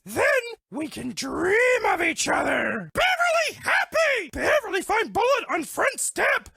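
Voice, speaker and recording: A woman's voice: very high voice, wildly swooping pitch, measured pace, creaky, bright, forceful tone, no echo, studio quality, full character voice. A woman voicing a sweet, dramatic, lovestruck female bear in a cartoon dating game, speaking broken English in a gushing way. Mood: frightened, dramatic.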